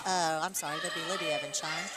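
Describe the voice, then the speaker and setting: A woman with a Texan accent calls a high school volleyball match play by play. She names the players and the score. A loud gym crowd and steady noise fill the background. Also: fast. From Texas. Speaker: play-by-play commentator. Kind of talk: live volleyball commentary.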